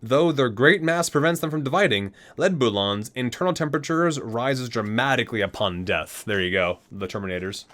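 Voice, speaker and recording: A man's voice: mid-range voice, a little fast, hardly any pauses, fairly bright tone. A man reading aloud in an ASMR style, stumbling over words and correcting himself between casual asides. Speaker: ASMR video host.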